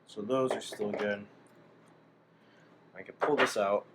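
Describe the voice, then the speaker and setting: A man's voice: lowish voice, fairly even intonation, slow. A man casually thinking aloud as he demonstrates hobby work at a bench, tentative and speaking in fragments. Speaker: hobbyist demonstrating a radio-to-amp conversion. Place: indoor workbench. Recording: clearly noisy room recording.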